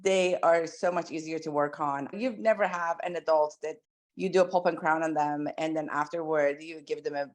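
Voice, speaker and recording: A woman's voice: low voice, moderate pace. A woman in her forties, born and raised in Iran, a pediatric dentist chatting as a podcast guest with lots of likes and ums. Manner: chatty and animated, frequent "like" and "um". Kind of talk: casual interview storytelling.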